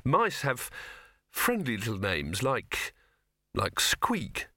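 A man's voice: mid-range voice, huge pitch swings, takes it slowly, sharp tone, no echo, clean studio sound, exaggerated voice. A man voicing an awkward, eager young man who speaks hesitantly and earnestly.

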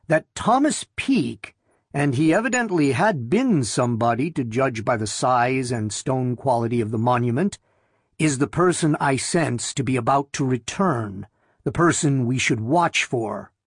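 A man's voice: medium pitch, lively intonation, measured pace, slightly rough, dry recording, studio quality. A man voicing an intent, watchful husband in an audiobook, terse, firm and preoccupied. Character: Sebastian, intent, watchful husband who senses the reawakening dead. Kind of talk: audiobook character voice.

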